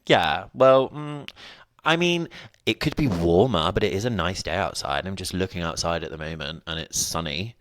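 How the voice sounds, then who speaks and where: A young man in his twenties hosts a solo podcast from home in a rambling, self-mocking way. His voice sits very low, and the sound is close and dry with no room around it. A sniffly nose runs through his talk. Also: fairly bright tone, dry recording. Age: 20s. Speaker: podcast host. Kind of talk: casual solo podcast chat. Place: at home, indoors.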